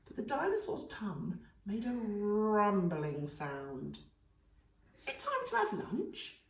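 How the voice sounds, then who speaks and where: A woman reading a children's story aloud as a nursery storyteller, in an animated, dramatic way for young listeners. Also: on the low side. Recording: echoey room, distant mic.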